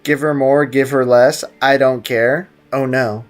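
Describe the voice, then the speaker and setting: A man's voice: mid-range voice, steady tone, measured pace, soft background music. A man livestreaming a game, chatting casually with slang and teasing his viewers. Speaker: game streamer. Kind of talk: casual livestream gaming chat, reacting to game and chat.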